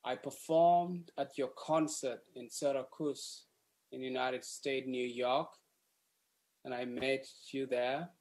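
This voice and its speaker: A man's voice: medium pitch, fairly even intonation, a little mellow. A young man from South Sudan, an activist, earnestly asks a prepared question over a webcam video call from a room at home. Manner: earnest, deliberate, a little formal.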